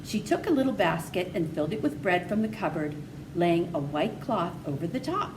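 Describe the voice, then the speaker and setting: A woman's voice: fairly low pitch, lively intonation, moderate pace. A woman narrating a children's story, reading aloud from a book. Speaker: storybook reader. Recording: noisy, distant microphone pickup.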